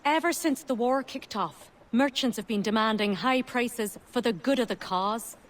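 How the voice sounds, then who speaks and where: A woman's voice: a little high, normal speed, close to an everyday voice. An actress playing a streetwise, colloquial working-class woman in a video game. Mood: confiding, indignant. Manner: plain-spoken, colloquial. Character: streetwise working-class woman recruiting help against profiteers.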